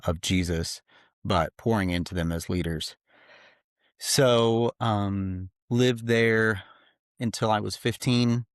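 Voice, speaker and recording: Man, 30s, reflective guest talk, deep low voice, dry sound. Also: no echo. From grew up in Slovakia. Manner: reflective, earnest, many fillers. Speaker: podcast guest. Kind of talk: casual interview talk. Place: home office.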